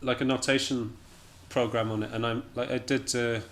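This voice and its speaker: A man's voice: mid-range voice, little pitch movement, normal speed, a bit bright. A man from Scotland chatting casually as an interview guest, in a hesitant, understated and self-deprecating way. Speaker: interview guest, jazz pianist and musician.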